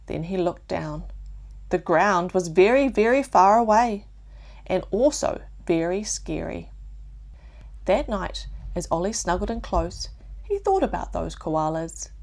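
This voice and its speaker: A woman with a New Zealand accent narrating a calm bedtime story for children, gently and with deliberate pauses. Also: on the low side, gravelly.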